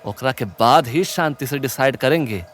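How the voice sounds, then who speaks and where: Man playing a animated, sensible young student. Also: mid-range voice, moderate pace, close to an everyday voice. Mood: wry caution. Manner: scolding, reasoning. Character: cautious, sensible young male student, wry friend.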